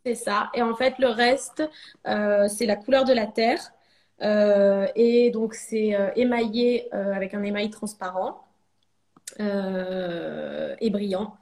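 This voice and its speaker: A woman's voice: medium pitch, steady tone, slow pace, a little mellow. A French woman in her thirties, a ceramic artist, talking casually in a podcast interview, hesitant with many fillers and restarts. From France.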